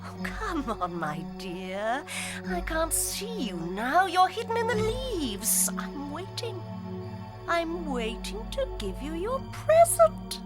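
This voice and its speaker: A woman's voice: very high voice, wildly swooping pitch, slow, heavily acted. An actress playing a sinister witch who coaxes with false sweetness in a dramatized audiobook, with music behind her. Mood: coaxing, menacing. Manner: wheedling, turning commanding.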